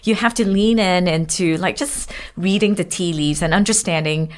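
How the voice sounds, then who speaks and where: A middle-aged woman from Singapore speaking as an executive guest in a reflective interview, choosing her phrases with measured care. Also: fairly low pitch. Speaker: podcast guest, marketing executive.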